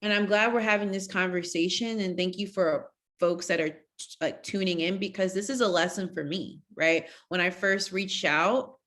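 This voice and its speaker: A woman's voice: lowish voice, fairly even intonation, moderate pace, a bit bright. A woman interviewing guests on a live video call, speaking earnestly and with emphasis while she thinks aloud. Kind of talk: live online conversation.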